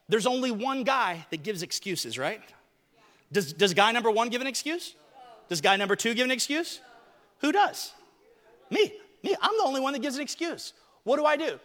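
Joking male preacher, bright ringing tone, long hall reverb. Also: very high voice. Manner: joking, animated, talks to people on stage. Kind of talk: lively sermon with audience volunteers.

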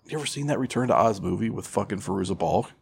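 A man's voice: on the low side, animated, quick pace, vocal fry, breathy edge. A man co-hosting a podcast, bantering casually about movies in slangy, foul-mouthed talk. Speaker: podcast co-host.

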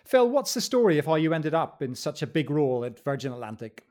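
A man's voice: a little high, very animated, brisk, darkish tone. A man hosting a podcast, summing up points and welcoming his guest. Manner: summarizing, welcoming. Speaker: podcast host. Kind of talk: hosting interview.

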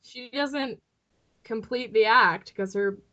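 A woman's voice: fairly high pitch, takes it slowly, a bit bright. A woman co-hosting a podcast in casual chat, recorded with a slightly dull, lo-fi sound. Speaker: podcast co-host.

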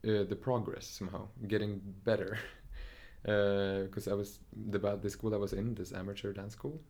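A young man from Norway talks in a low voice with a gravelly fry. He speaks thoughtfully and hesitantly, full of fillers and self-corrections, as he tells his story in a relaxed interview. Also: normal speed. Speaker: dancer, interview guest. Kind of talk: casual interview talk.

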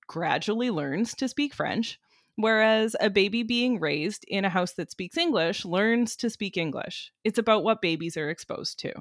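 Woman explaining clearly, dry close sound. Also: mid-range voice, no echo. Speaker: music cognition researcher and educator, interviewee. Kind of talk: conversational educational explanation.

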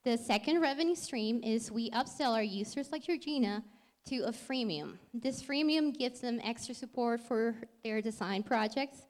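A young woman from Peru presenting her startup on stage. She explains things earnestly and sometimes corrects herself, and her voice sits a little high for a woman. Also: normal speed, darkish tone. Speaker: startup founder pitching.

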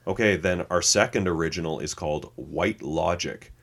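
A man's voice: sits low, moderate pace, fairly bright tone. A man co-hosting a podcast, chatting casually, reading notes aloud and laughing easily. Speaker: podcast co-host.

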